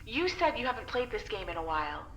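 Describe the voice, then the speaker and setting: A woman's voice: medium pitch, sing-song, fast talker, a bit bright. A woman playing a sardonic, defiant captive in an audio drama, teasing and blunt in manner. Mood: mock outrage. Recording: roomy sound with faint noise.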